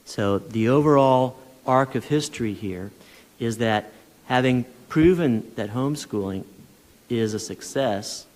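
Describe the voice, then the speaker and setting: A man of ordinary pitch gives formal testimony in a large hearing room, his words carrying a long reverb. He argues in a measured, persuasive way, pausing and restarting as he builds his points. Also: a little mellow. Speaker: witness testifying at a legislative hearing.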